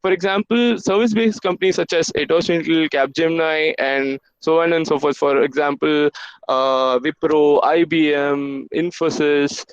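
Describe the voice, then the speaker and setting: A man's voice: a little high, smooth, no echo. A young man in his twenties, a native Hindi and Urdu speaker, gives encouraging career advice to students in a webinar. He hesitates often with "uh" fillers. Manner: halting, with many "uh" fillers, encouraging. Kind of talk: motivational career-advice talk. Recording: dull lo-fi online call audio.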